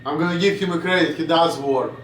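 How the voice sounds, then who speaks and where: A man's voice: fairly high pitch, fast talker, roomy sound, recorded from a distance, slight background noise, rough recording. A man in his late twenties from Russia, with a Russian accent, rambling bluntly in a casual vlog and swearing now and then. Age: 20s. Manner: blunt, swearing, drifts off topic. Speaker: vlogger.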